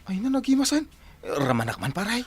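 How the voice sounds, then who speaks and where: A man's voice: high voice, huge pitch swings, moderate pace, slightly rough, exaggerated voice. A man playing a grumbling, henpecked working husband in an Ilocano radio drama, whining and exclaiming with a northern Philippine accent. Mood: embarrassed, complaining. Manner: whining, exclamatory, comic complaining. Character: Pedro, grumbling, henpecked construction-worker husband and father.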